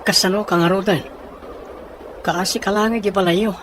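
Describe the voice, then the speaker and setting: A man playing a sheltered, sympathetic young man from a rich family in an audio drama, hesitant and gentle, with a northern Philippine accent. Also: high voice, steady tone, moderate pace. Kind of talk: acted audio drama dialogue. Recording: faint background noise.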